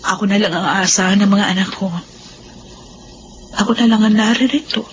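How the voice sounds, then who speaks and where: A woman's voice: mid-range voice, fairly even intonation, fairly quick, muffled recording, slight background noise, close to an everyday voice. A woman acting as a practical, guarded middle-aged widowed mother in a Filipino drama. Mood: resolute. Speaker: actress in a Tagalog drama.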